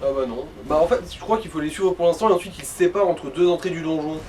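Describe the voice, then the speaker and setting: A man's voice: a little high, quick pace, darkish tone. A man giving casual gaming commentary, explaining things and guiding the player. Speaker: let's play co-commentator. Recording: distant mic, faint noise.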